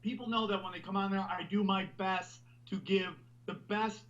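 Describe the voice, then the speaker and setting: A man hosting a podcast, talking loudly and animatedly in a rambling, casual way. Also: high-pitched, flat delivery, normal speed, highs cut off. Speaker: podcast host.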